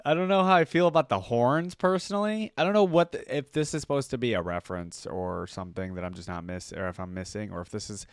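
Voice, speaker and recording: A man's voice: mid-range voice, quick pace, hardly any pauses, clear, resonant tone, no room sound, clean studio sound. A man hosting a reaction video with casual commentary, rambling as he thinks aloud.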